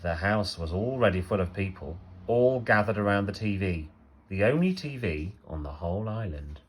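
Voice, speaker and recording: A man reading a children's story aloud like a teacher, expressively, with emphatic phrasing and pauses for effect. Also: low-pitched, animated, husky.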